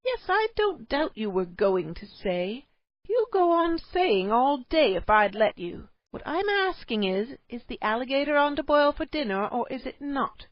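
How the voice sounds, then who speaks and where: A woman voicing a fussy, scolding cave housewife who talks in shrill exclamations. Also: sing-song, normal speed, no room sound, lo-fi sound.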